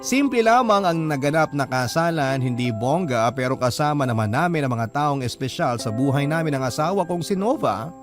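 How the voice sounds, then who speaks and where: An actor playing a sincere, devoted husband narrating his love story for radio, earnest and measured, with a Filipino accent. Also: a little high, lively intonation, natural voice. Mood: reflective. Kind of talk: scripted first-person radio drama narration. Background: background music.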